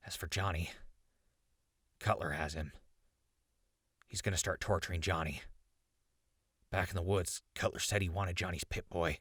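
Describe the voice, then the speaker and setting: An actor playing a captured radio host in a post-apocalyptic audio drama, urgent and stubbornly hopeful, with a gravelly, husky voice. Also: male voice, sits low, fairly even intonation, normal speed, pauses often, fairly bright tone, dry recording, clean studio sound. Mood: grim explaining.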